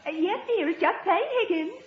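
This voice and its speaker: A woman's voice: extremely high pitch, brisk, roomy sound, lo-fi sound, very noisy. An actress playing a flustered, anxious housewife in a 1950s radio comedy, nervously tripping over her words. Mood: anxious, insistent.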